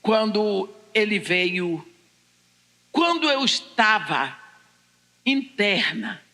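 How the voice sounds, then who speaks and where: An older Brazilian woman giving a personal testimony as a preacher, telling it deliberately and dramatically in short phrases. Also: medium pitch, big pitch swings, bright, forceful tone. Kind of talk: personal testimony told to a congregation. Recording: echoing large hall, long reverb.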